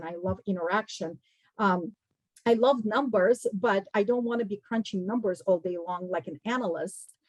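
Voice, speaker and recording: A woman's voice: mid-range voice, a little mellow, dry recording, poor audio. A woman from Kazakhstan giving a conversational career talk to students as a guest speaker, explaining things directly to her listeners. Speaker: guest speaker, recruiting executive.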